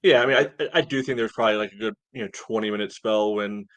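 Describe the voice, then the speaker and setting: A man with a lowish voice gives casual match analysis as a podcast co-host. He talks conversationally, circling his points with lots of filler, and the sound is very dry and free of room echo. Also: quick pace, fairly bright tone. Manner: hedging, conversational, peppered with "you know".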